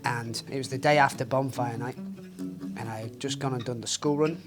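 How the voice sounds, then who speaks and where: A young man, a singer-songwriter speaking softly and reflectively in a documentary interview. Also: mid-range voice, moderate pace. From Northwest England. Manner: soft-spoken, reflective, grieving. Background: guitar music.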